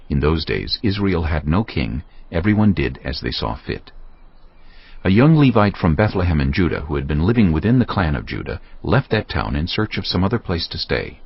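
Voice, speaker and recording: A man narrating a dramatized audio Bible in a solemn, measured storytelling manner. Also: sits low, creaky, slightly rough. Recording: slightly dull sound, faint music.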